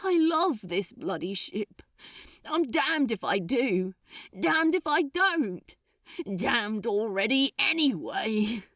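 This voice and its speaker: A woman's voice: a little high, sing-song, slow, a bit bright, dry recording, studio quality, heavily acted. A woman voicing an anguished male demon, despairing and defiant, with a distraught, pleading delivery in a dramatic audiobook. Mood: bitter, desperate. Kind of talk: dramatic audiobook character acting.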